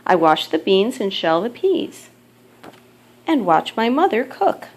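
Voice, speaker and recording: Mother reading a picture book: female, mid-pitched voice, measured and expressive storytelling delivery. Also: husky. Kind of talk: children's picture book read-aloud.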